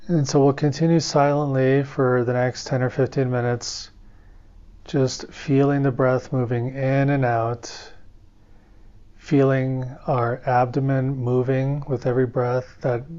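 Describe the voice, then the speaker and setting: A man leads a guided meditation as a teacher, speaking gently and without hurry. His mid-pitched voice stays level, with very little rise and fall. The recording is slightly dull and lo-fi. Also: clear, resonant tone. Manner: calm, gentle, unhurried. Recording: dull, muffled lo-fi audio.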